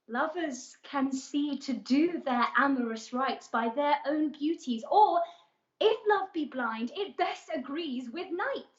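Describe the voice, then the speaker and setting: A woman performs an impatient young heroine deep in love, in a high voice. The verse is declaimed slowly and full of longing, as classical stage Shakespeare. The sound is echoey, from a live-streamed room. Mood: eager yearning. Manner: poetic, yearning, declamatory delivery. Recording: roomy, dull livestream audio.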